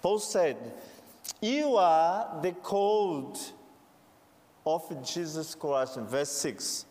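A man's voice: fairly high pitch, very slow, darkish tone, long reverb tail. A man from Brazil with a Brazilian Portuguese accent, a native Portuguese speaker, preaching a sermon and rising to fervent calls to the congregation.

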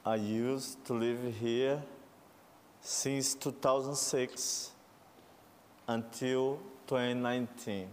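A man's voice: mid-range voice, little pitch movement, slow pace, long pauses, darkish tone, a little hiss or hum. Brazilian man preaching in a reverberant hall. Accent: Brazilian Portuguese. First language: Portuguese. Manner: rising to fervent calls and responses. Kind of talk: sermon.

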